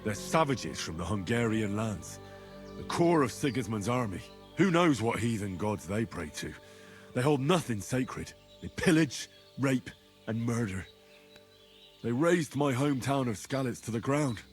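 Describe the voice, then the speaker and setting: A man's voice: medium pitch, animated, unhurried, faint music behind, natural voice. A man playing an earnest, vengeful young man. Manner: earnest, plain-spoken. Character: young man, earnest, set on avenging his parents. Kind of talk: video game cutscene dialogue.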